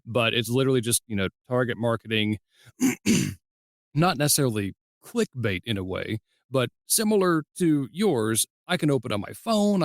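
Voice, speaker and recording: A man's voice: medium pitch, creaky, no room sound. A man hosts a casual interview podcast in a mid-range voice. His delivery is conversational, with playful quoted asides, and the recording is dry and free of room sound. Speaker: podcast host.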